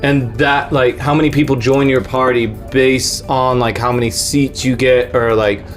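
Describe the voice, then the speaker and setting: A man's voice: medium pitch, steady tone, moderate pace, soft background music. A man from the United States hosting a podcast, chatting casually and jokingly, rambling a little and laughing often. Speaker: podcast host. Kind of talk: casual comedic chat.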